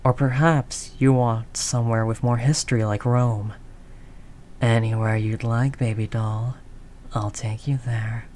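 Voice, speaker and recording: A woman playing a tough, doting mafia heiress girlfriend in an intimate audio roleplay, low-voiced, talking in affectionate slangy tough talk. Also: steady tone, measured pace, mellow, a little hiss or hum. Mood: devoted, earnest.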